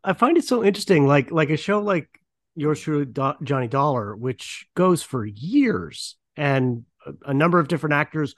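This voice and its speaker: A man's voice: on the high side, expressive, moderate pace, dry recording. Man hosting, close dry sound. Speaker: podcast host. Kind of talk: casual interview chat.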